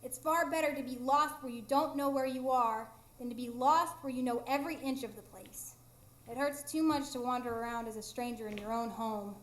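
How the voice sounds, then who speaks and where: A woman's voice: on the high side, brisk, close to an everyday voice. A woman playing a heartbroken, proud fairy living as a human, in a plaintive, emphatic live radio drama performance. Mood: sad, proud. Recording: roomy live stage performance.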